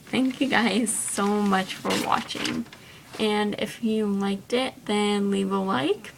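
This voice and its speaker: A woman's voice: slow pace, vocal fry. A woman doing an amateur reading of a story, plainly, with the occasional stumble. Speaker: video creator reading own story. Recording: rumbly background noise.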